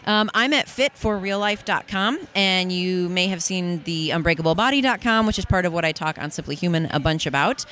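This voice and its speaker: A woman's voice: on the low side, a little fast, few pauses, a bit bright, a little hiss or hum. A woman guest in a casual in-person interview, bubbly and enthusiastic, recorded on a busy expo floor. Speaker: guest, fitness workshop presenter. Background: crowd ambience.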